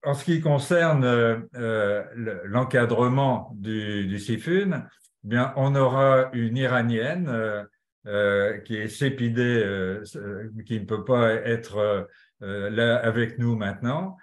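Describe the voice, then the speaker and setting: A man gives an informal presentation as a manager. His voice is mid-pitched and he often pauses to find words, with a clear room echo around him.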